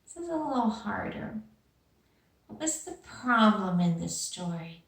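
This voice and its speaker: A woman teacher reading a storybook aloud and talking to young children, expressive, with questions and pauses for them. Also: medium pitch, creaky, husky. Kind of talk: animated storybook read-aloud and lesson.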